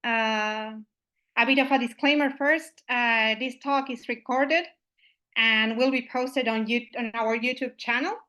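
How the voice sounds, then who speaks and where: A woman's voice: a little high, fairly even intonation, unhurried, bright, forceful tone. A woman from Uruguay, opening an online meetup as its host, friendly and full of "uh" and "I mean" fillers, over a video call microphone.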